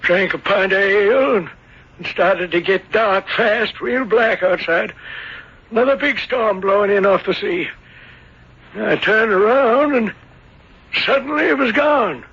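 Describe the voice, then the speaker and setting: A man's voice: high voice, measured pace, fairly bright tone, slightly rough, full character voice. An actor playing a flustered, defensive small-town innkeeper in a radio drama, stammering and folksy as he grumbles. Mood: defensive, recounting. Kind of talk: 1970s radio drama acting. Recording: dull lo-fi old radio broadcast.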